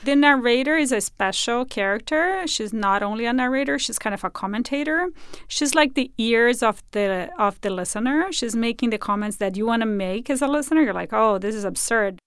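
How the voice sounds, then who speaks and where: A woman being interviewed as an audio drama creator, explaining things in a casual, animated way. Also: fairly high pitch, brisk, clean tone, no room sound, studio quality.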